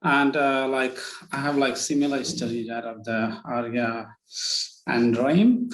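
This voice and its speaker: A man in his thirties with a Nepali accent talks over a video call, and his voice bounces around a roomy, echoey space. He speaks at a middling pitch, stops often, restarts, and threads "like, you know" through his sentences as he works out what to say. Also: measured pace. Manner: hesitant, heavy on fillers like "like, you know". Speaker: workshop participant. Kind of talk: sharing a personal story. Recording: roomy echo.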